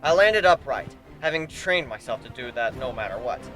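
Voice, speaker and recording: A man giving an animated, theatrical dramatic reading of a first-person fan fiction story. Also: medium pitch, normal speed. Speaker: amateur story narrator. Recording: background music under the voice.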